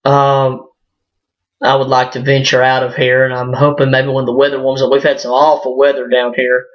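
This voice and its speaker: A hoarse man, his voice cracking a little, talks straight to camera in a loose and halting way, full of fillers and restarts. His pitch sits mid-range for a man. The sound is dull and lo-fi, picked up by the device's built-in mic. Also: monotone. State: hoarse, voice cracking from a sinus illness. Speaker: vlogger. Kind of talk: casual personal vlog monologue. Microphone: built-in device mic, no external microphone.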